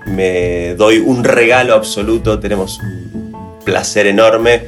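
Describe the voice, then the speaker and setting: A man hosting an interview podcast, admiring and prone to repeating words as he starts phrases. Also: on the low side. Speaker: podcast host.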